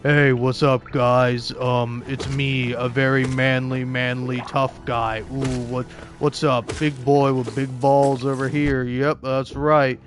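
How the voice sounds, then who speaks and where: A man mocking a young man who fakes a deep, manly voice and boasts about it. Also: little pitch movement, slow pace, few pauses, lightly acted. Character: mocked young man faking a deep macho voice.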